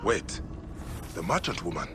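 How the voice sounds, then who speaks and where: Stern low-voiced warrior. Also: male voice, big pitch swings, a little slow, background noise. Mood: impatient. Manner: terse, probing, no-nonsense. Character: stern, determined male warrior investigator, adult. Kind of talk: video game cutscene acting. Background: music.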